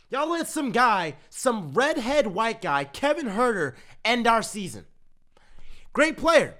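A man's voice: high voice, fairly bright tone. A man ranting heatedly at his team as a fan, repeating himself, his voice strained from cheering. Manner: heated, repetitive, exasperated ranting. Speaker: sports fan. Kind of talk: ranting commentary to camera.

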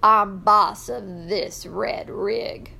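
Storybook cow, played by a woman: domineering, stubborn, loud and drawn-out delivery. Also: mid-range voice, fairly even intonation, creaky, heavily acted. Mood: bossy. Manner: slow, drawn-out, loud commands. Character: bossy female brown-eyed cow, domineering and stubborn.

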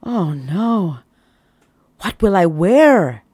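A woman's voice: lowish voice, animated, normal speed, no room sound, studio quality. A woman voicing a poor, anxious young man who stammers and frets. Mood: worried. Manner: stammering, fretful.

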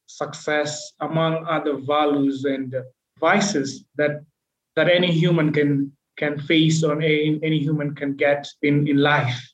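A young man tells his own story in an earnest, measured way. His voice sits a little high and stays flat, with little rise and fall. The recording is echoey and dull, like a roomy lo-fi mic. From Kenya. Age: 20s. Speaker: podcast guest. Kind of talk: personal storytelling in an interview.

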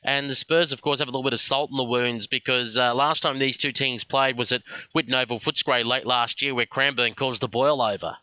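A man with an Australian accent hosting a radio sports show, reading fixtures and wrapping up the program in a brisk announcer manner. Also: medium pitch, steady tone, sharp tone, clean tone, no room sound, highs cut off. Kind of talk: radio sports preview presenting. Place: radio studio.

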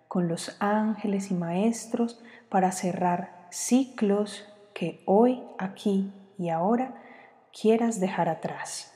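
A woman leads a guided meditation in a calm, soothing manner, leaving long pauses between short phrases. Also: mid-range voice. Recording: long reverb, music behind. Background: music.

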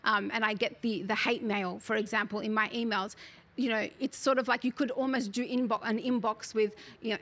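Articulate woman, fairly high voice. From Australia. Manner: articulate, frequent "you know" fillers, earnest. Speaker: author and interview guest. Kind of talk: conversational interview.

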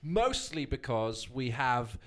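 A man's voice: mid-range voice, sing-song, slow, fairly bright tone. A man co-hosting a podcast, upbeat as he introduces the show and its guest. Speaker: podcast co-host.

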